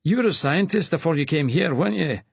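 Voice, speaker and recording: A man voicing a friendly young male hospital attendant with a broad Scottish accent, talking quickly with a dry, amused edge. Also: on the high side, animated, gravelly, slightly rough, dry recording, pitched above the performer's own voice, exaggerated voice. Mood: conversational. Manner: quick, words running together, amused.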